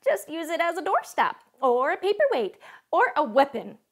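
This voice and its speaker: A young woman playing a chirpy, wacky cooking-show host in a home kitchen, with a bubbly sing-song delivery and sudden hushed asides. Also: high-pitched, very animated, normal speed, voice pushed up. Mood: perky. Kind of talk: parody cooking show, scripted comedy.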